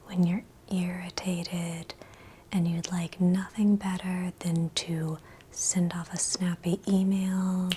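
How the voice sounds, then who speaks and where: Female ASMR meditation guide: breathy whisper, even and unhurried with long pauses, soothing, faint ambient noise. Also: fairly low pitch. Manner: gentle, soothing, with long pauses between short phrases.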